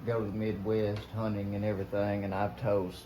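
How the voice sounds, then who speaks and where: A man's voice: lowish voice, completely flat delivery, a little fast, dark tone. An older man with a Southern US accent telling stories casually in a folksy, rambling way. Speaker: guest, veteran hunter telling stories. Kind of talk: casual storytelling chat. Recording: roomy echo with clear background noise.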